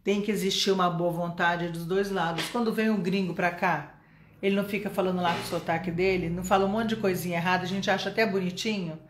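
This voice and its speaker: A woman's voice: fairly low pitch, steady tone, quick pace, echoey room, a little hiss or hum. Chatty Brazilian woman teaching English. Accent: Brazilian Portuguese. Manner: chatty and encouraging, asks "né?" and "tá?" often. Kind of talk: live-streamed English lesson.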